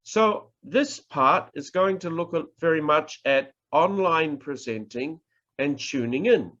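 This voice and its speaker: Middle-aged male lecturer, New Zealand accent. Slow, emphatic delivery with widely swinging pitch, instructional tone, muffled video-call sound. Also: animated, fairly bright tone. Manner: deliberate, emphatic, with pauses and repetition. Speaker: professor teaching public speaking. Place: indoors, online presentation. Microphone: video call microphone.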